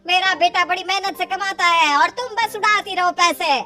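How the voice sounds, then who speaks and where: A woman's voice: very high-pitched, moderate pace, bright, forceful tone, faint music behind. A woman voicing a grumbling, stubborn old mother-in-law who scolds and complains in a storybook tale. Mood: scolding. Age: older.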